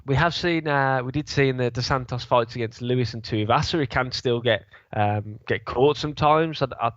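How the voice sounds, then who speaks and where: A man's voice: mid-range voice, moderate pace, fairly bright tone, dry recording, muffled recording. A man with a sore throat co-hosting a fight podcast, chatting casually and rambling with many restarts. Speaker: podcast co-host, fight analyst. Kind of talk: casual chat.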